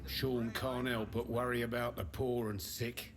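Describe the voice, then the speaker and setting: An actor playing a friendly, chatty pub landlord in a video game, with an easygoing, helpful manner. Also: male voice, medium pitch, flat delivery, a little slow, close to an everyday voice. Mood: helpful, confiding. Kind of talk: video game dialogue acting.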